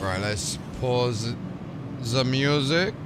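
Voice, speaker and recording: A man's voice: mid-range voice, expressive, drawn-out words. A man livestreaming casually, chatting and talking himself through tasks aloud. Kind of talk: casual stream chat and commentary. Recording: music playing behind the voice. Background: music.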